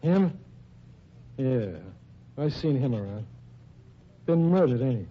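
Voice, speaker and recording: An actor playing a defensive gangster boss in an old-time radio crime drama, blunt, slangy and indignant. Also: male voice, mid-range voice, lively intonation, slow. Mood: wary, defensive. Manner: blunt, slangy, indignant tough-guy talk. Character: gruff, defensive male gangster nightclub boss. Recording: muffled old radio recording.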